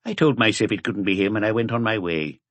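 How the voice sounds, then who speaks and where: A man voicing a little old cafe regular in an audiobook, mildly reminiscing in an unhurried manner. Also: on the low side, dry recording, close to an everyday voice. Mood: musing.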